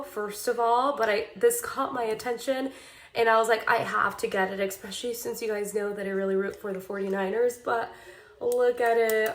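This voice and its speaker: A young woman who is a news reporter, chatting casually in a vlog, a bit congested and raspy from a cold, with many filler words. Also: a little high. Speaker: TV news reporter vlogging her day. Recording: echoey room.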